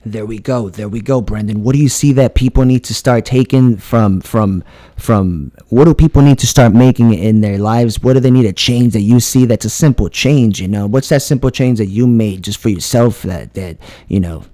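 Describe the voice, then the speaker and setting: A man's voice: lowish voice, moderate pace, mellow, no room sound. A man hosting a podcast interview in a casual way, affirming his guest and asking open questions. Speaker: podcast host.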